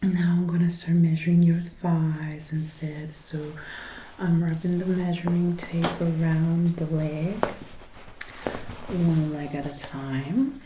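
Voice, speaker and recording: Lady doing asmr, soft whispers and low gentle talk, step by step, roomy binaural recording with a bit of noise. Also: steady tone. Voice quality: soft, breathy, often whispered. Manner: slow, gentle, methodical narration of each step. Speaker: ASMR creator. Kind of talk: soft-spoken ASMR personal attention roleplay. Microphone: close binaural microphone, ear to ear.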